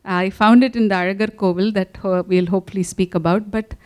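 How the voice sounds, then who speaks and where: A woman, an author answering questions in a relaxed conversation, often restarting her sentences. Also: medium pitch, brisk, clean tone. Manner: animated, many restarts and fillers. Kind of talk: conversational interview.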